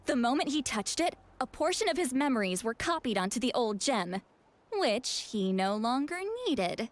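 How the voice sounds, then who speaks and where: A woman's voice: a little high, lively intonation, moderate pace, lightly acted. A woman voicing a proud, feisty female shaman mentor in a video game, self-assured and dramatic, protective of her own. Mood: explaining, smug.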